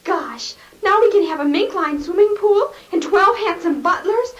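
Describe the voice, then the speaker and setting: Spirited young niece, 1950s radio comedy. Very high pitch, lively swings, earnest exclamations. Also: female voice, moderate pace, dull audio. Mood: excited. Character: spirited young niece exasperated with her foolish uncle. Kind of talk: 1950s radio sitcom acting.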